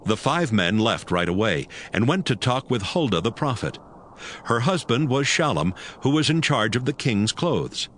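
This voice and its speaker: A man narrating scripture as a scripted, dramatized audiobook in a measured storytelling style. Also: medium pitch, sing-song, a bit bright, slight background noise. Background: prominent music.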